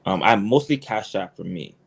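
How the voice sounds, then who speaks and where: A young man speaks as a political candidate in a casual interview. His voice sits fairly low. He stays relaxed but hesitant and breaks into a nervous laugh now and then. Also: normal speed, creaky, breathy edge. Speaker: congressional candidate.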